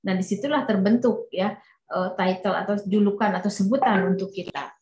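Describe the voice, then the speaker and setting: A woman's voice: medium pitch, normal speed, a little mellow, highs cut off. Woman interpreting a lecture in an echoey room. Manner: hesitant, many fillers and restarts. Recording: roomy echo.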